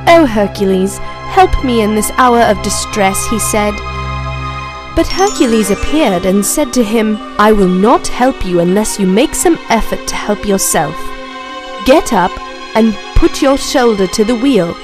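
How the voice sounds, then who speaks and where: A woman narrating moral stories for children in a slow, clear, even storybook reading. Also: mid-range voice. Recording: narration over clear background music. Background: background music.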